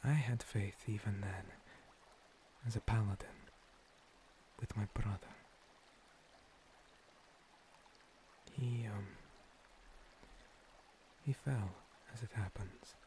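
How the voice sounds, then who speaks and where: A man playing an ancient, gentle monster priest, a scarred former paladin, in a breathy, halting, soothing ASMR roleplay whisper. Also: lowish voice, noisy background. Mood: solemn, reflective. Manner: slow, soothing, halting pauses. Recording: water streaming and pouring behind. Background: running water.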